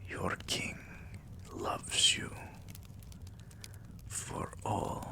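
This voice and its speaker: A man playing a tender, possessive vampire king in an intimate ASMR roleplay, murmuring soothingly and often whispering, with a deep, gravelly voice. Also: extremely slow, bright, forceful tone, full character voice. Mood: soothing, loving.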